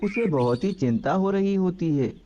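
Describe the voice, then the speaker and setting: A man's voice: sits high, lively intonation, moderate pace, warm tone, dull audio, a little hiss or hum. A man narrating a scripted children's story as the storyteller.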